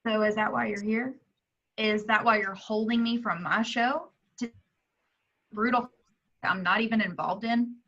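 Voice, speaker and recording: Woman reading as a bright, brash TV reporter, muffled recording. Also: a little high, fairly even intonation, a little slow. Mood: annoyed, brash. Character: brash, sharp-tongued female TV reporter. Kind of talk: amateur table reading of a film script. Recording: dull, muffled home recording.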